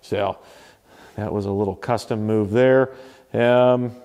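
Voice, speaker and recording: A man's voice: lowish voice, fairly even intonation, takes it slowly, a little mellow, clear, resonant tone, in a large hall. A man walking through his garage home gym, chatting offhandedly about his equipment, with loose fillers and self-corrections. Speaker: home gym owner giving a tour. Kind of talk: casual walkthrough commentary.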